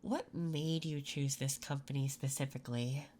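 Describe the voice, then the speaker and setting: A soft-spoken woman performing an intimate ASMR roleplay as an interviewer, talking gently and a little flirtatiously with pauses between phrases. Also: a bass voice, steady tone. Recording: clear background noise. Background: computer keyboard typing and clicks.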